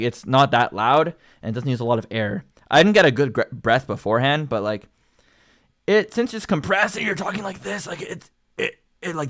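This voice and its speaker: A man's voice: medium pitch, fairly bright tone. A young man with a Canadian accent, a singer chatting casually about his own vocal progress, rambling with many fillers. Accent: Canadian English. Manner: rambling and filler-heavy, lots of likes and ums. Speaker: singer and channel host. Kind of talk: casual livestream commentary.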